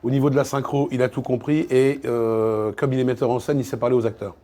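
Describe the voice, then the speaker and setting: A man in a casual interview as a voice actor and dubbing director, telling anecdotes haltingly and repeating words as he goes. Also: mid-range voice, flat delivery, dark tone. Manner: hesitant, repeats words, acts out quoted exchanges.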